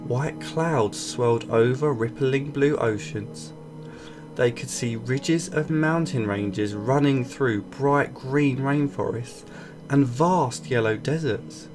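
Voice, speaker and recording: A man reading a scripted children's story aloud in an expressive storytelling style. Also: medium pitch, takes it slowly. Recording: music bed behind voice. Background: background music.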